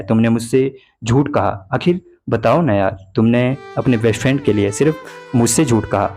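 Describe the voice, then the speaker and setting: A man's voice: medium pitch, a little mellow, natural voice. A man acting as a hurt young boyfriend over music. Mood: hurt, accusing. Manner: reproachful, pleading. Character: hurt, jealous young boyfriend accusing his girlfriend. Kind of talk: dramatized breakup phone conversation in Hindi. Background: background music.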